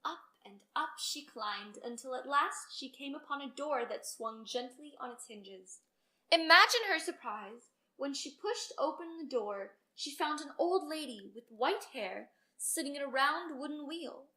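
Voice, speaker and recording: A woman storyteller reading a children's storybook aloud with expressive narration. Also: sits high, a little slow, poor audio.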